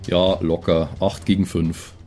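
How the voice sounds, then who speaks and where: Low, mellow man. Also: little pitch movement, measured pace. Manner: easygoing, colloquial. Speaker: tabletop game player. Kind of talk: casual game-table chat. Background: background music.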